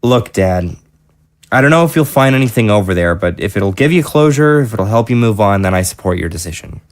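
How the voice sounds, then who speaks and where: A man playing a practical grown son in an audio drama, plain-spoken and blunt but supportive, in a natural measured way. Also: on the low side, expressive, close to an everyday voice. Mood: firm, supportive.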